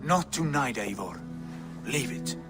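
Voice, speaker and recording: An actor playing a cautious, commanding Viking in a video game, measured and authoritative. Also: male voice, mid-range voice, expressive, over loud music. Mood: firm, cautioning. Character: level-headed male Viking, cautious and commanding. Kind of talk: video game cutscene acting.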